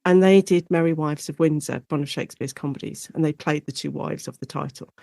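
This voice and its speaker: British woman chatting as an expert guest, explains history like a story, animated, really dry sound. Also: deep voice, a little fast, gravelly, dry recording. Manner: animated, informal storytelling with acted-out quotes. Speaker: guest expert, lecturer and author on Shakespeare in radio. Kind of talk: conversational interview, enthusiastic historical explanation.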